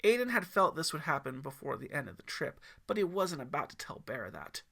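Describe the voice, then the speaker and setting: A man's voice: fairly high pitch, very animated, a little fast, creaky, pushed and bright. A man reading scripted story narration as the narrator of his own audiobook.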